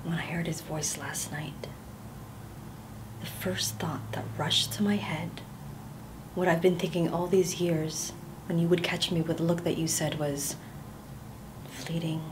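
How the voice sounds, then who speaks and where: A woman performing a stage monologue as a haunted woman who confesses her hatred for the man who tormented her, speaking in a hushed near whisper. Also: lowish voice, fairly even intonation, unhurried, long pauses, background noise, natural voice.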